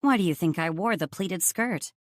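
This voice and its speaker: A woman's voice: fairly low pitch, lively intonation, normal speed, no echo, clean studio sound, lightly acted. Woman as a coy, smitten romance heroine. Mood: flirty.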